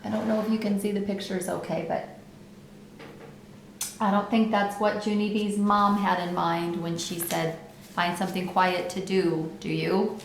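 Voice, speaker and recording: A woman's voice: medium pitch, steady tone, moderate pace, gravelly. A woman talking casually to children as a principal hosting a read-aloud. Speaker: school principal reading aloud. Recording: roomy echo with faint background noise.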